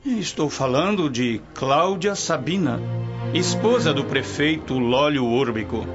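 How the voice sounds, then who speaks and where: Genial Roman emperor, muffled recording. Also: male voice, on the high side, expressive, measured pace. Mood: genial, stately. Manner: genial, stately, teasing. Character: genial, worldly Roman emperor, self-assured. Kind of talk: radio drama acting. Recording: dull lo-fi radio drama recording with music. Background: music.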